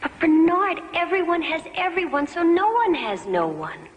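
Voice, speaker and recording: An actress plays an uneasy, conventional young woman who cannot understand. Her voice is high and lilting, in a drama scene with long reverb and faint noise. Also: big pitch swings, normal speed. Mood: soothing, insistent.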